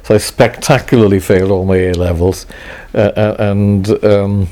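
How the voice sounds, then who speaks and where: A middle-aged man from Wales reflecting on his past in a halting, wry way, full of restarts. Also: on the low side, fairly even intonation, mellow. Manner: halting, many restarts and self-corrections, wry. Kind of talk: reflective personal talk.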